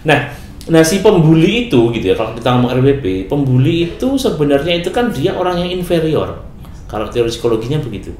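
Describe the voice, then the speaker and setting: A man's voice: a little high, big pitch swings, fast talker, a little mellow. A man, a guest in a casual talk-show discussion, explaining things and often checking for agreement. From Bekasi, Indonesia. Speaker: guest speaker. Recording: echoey room with faint noise.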